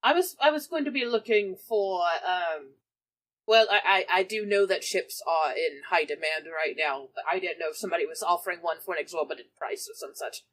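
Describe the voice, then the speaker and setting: A woman's voice: lowish voice, fairly quick, fairly bright tone, poor audio. A woman playing a tabletop game, casually moving between describing and speaking in character.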